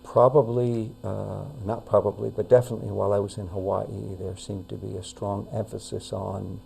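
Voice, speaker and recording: An older man with a New York accent, a retired pastor talking as an interview guest, measured and full of fillers and restarts. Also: on the low side, flat delivery, gravelly, mellow, a little hiss or hum. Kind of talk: casual interview talk.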